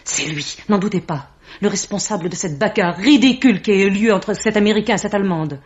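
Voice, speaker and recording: An actress playing a haughty mystical bookseller in a French radio mystery, solemn, theatrical and full of contempt. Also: female voice, mid-range voice, fairly quick, close to an everyday voice. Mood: haughty, defensive. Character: haughty mystical bookseller woman, accusing and ominous. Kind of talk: French 1950s-style radio mystery acting. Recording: dull vintage radio-drama recording.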